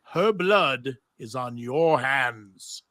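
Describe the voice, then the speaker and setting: A man playing a bitter, grieving musician, speaking slowly and accusingly in a live script read. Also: medium pitch, expressive, pushed and bright, no echo, studio quality, heavily acted. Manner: slow, accusing delivery. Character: bitter grieving male musician, blaming a warrior.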